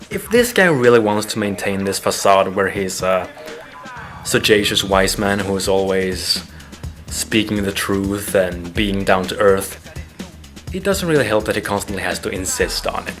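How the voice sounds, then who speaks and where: A man speaking in a wordy, exasperated way. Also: on the low side. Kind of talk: ranting to camera.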